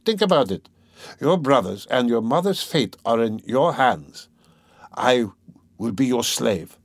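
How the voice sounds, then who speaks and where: A man's voice: medium pitch, animated, a little slow, breathy edge, no echo, clean studio sound. A man voicing an obsessive, menacing tormentor in an audiobook, feverish, insinuating and emphatic. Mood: cold menace. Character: obsessive, menacing male tormentor, pleading then coldly mocking. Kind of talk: dramatic audiobook character reading.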